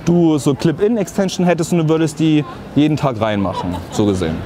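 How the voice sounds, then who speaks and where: A man running a casual street survey, upbeat and chatty, affirming answers quickly. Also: fairly high pitch. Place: busy street outdoors. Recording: crowd noise from the street.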